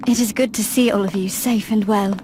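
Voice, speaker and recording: A woman's voice: medium pitch, steady tone, a little fast, a little mellow, close to an everyday voice. An actress playing a gracious noblewoman in a fantasy video game, speaking in a formal, composed way. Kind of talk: dramatic video game cutscene acting. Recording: noisy game cutscene audio.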